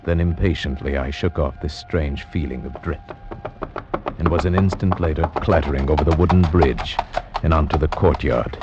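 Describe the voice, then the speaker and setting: An actor playing a grave, dread-filled gentleman, narrating solemnly and deliberately in theatrical old-time radio horror style. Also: very deep voice. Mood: brooding dread. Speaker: narrator and visiting friend. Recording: muffled vintage radio recording with music. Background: music.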